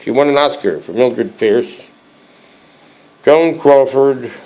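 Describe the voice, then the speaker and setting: A hoarse man with a cold hosting a casual show-and-tell, reading aloud from a book with many halting restarts and fillers. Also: mid-range voice, warm tone, rough recording. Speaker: collectibles channel host.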